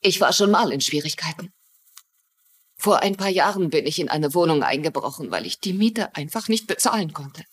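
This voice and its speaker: An actress playing an anxious, vulnerable young mother under suspicion in a German-dubbed mystery drama, with a husky, earnest voice. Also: sits low, animated, moderate pace. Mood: frightened. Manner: earnest, fearful.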